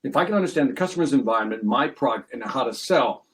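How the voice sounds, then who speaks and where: A man's voice: medium pitch, expressive, fast. A man hosting a sales podcast who chats in a loose, conversational way, often restarting his sentences and laying out his points as numbered items. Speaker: podcast host. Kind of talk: podcast interview.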